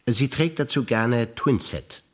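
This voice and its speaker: An older German man, a stage actor, calmly reciting personal memories one line at a time in a theatre piece. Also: medium pitch. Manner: measured, dry recounting of memories, trading lines.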